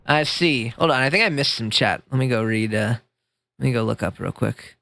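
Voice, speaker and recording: A man streaming live with a squeaky voice, chatting with his chat in a rambling, jokey way that jumps up high when he gets excited. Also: normal speed, vocal fry, dry recording. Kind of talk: casual livestream chat reading chat. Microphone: streaming mic.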